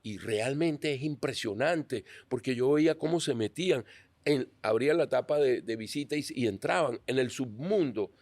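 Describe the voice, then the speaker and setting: A man's voice: mid-range voice, expressive, normal speed, darkish tone, no room sound. A man hosting a podcast interview, earnest and restarting his words as he asks questions. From Venezuela. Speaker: podcast host.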